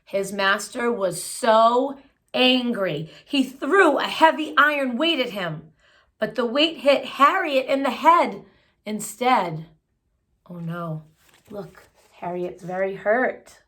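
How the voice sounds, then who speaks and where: A woman's voice: a little high, expressive, takes it slowly, a bit bright. A woman reading a children's picture book aloud, phrasing it expressively and adding simple explanatory asides for young listeners. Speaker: children's story reader.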